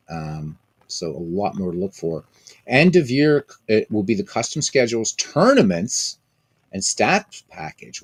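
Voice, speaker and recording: A man's voice: lowish voice, husky, no echo. A man hosting a casual live stream of a baseball game, rambling, repeating himself and chatting with viewers by name.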